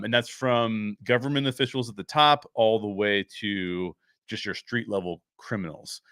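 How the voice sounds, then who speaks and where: A man's voice: fairly low pitch, fairly bright tone, no echo, clean studio sound. A man hosting a pop-culture show, giving conversational, opinionated commentary on film in a reasoned way, thinking aloud with asides.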